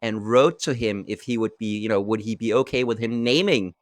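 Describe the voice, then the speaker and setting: A man co-hosting a podcast, telling stories in a halting way, with many fillers. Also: lowish voice, no echo, studio quality. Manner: hesitant, many uh fillers, storytelling. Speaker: podcast co-host. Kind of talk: casual podcast chat.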